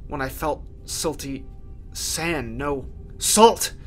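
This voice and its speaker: A man narrating a first-person horror story in a theatrical way, with deliberate pauses. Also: fairly high pitch, animated, a bit bright. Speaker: writer and narrator. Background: music.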